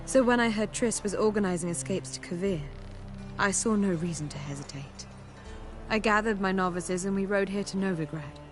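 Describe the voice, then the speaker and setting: An actress playing a dignified sorceress and mentor in a video game, speaking in a formal, measured, solemn way. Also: female voice, medium pitch, lightly acted. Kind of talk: video game dialogue acting. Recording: music playing behind the voice.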